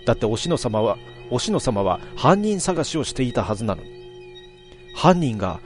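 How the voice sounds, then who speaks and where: A man voicing an excited young girl who lays out her reasoning. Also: medium pitch, normal speed, soft background music, close to an everyday voice. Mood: shocked.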